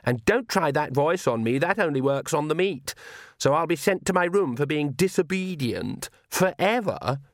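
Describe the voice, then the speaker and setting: Audiobook vampire daughter, played by a man: high, swooping voice, whiny, sarcastic, rebellious. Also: lively intonation, no room sound, studio quality. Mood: petulant, whining. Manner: whining, sarcastic, drawn-out complaint. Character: petulant, sneering young female vampire, rebellious daughter.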